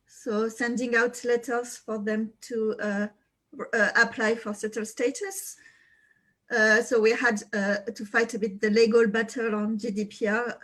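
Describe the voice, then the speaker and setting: Woman, French accent, fairly high voice, halting with fillers, video call. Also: fairly bright tone, low-quality recording. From France. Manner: hesitant, many fillers. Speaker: local councillor. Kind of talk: panel introduction on a video call.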